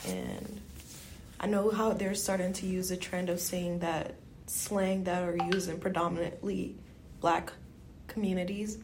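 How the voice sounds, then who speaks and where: A woman's voice: lowish voice, flat delivery, a little slow, noisy background. A woman from Guyana chatting casually as a podcast co-host, explaining things in an informal way. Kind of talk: casual chat.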